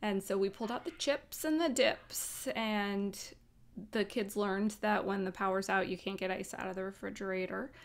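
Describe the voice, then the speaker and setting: A woman's voice: mid-range voice, fairly even intonation, normal speed. An American woman hosting in a casual, playful way, rambling informally as she chats. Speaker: host. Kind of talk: casual, chatty vlog talk.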